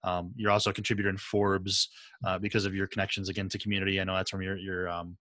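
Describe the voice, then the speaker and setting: A man hosting a podcast in casual conversation, feeling his way haltingly into each question. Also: sits low, level tone, sharp tone, dry recording. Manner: halting, circles around questions. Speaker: podcast host, interviewer.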